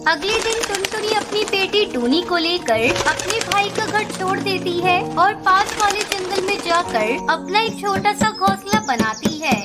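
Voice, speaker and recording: A woman's voice: very high voice, animated, a little slow, hardly any pauses, loud music behind the voice. A woman reading scripted narration for a children's cartoon moral story.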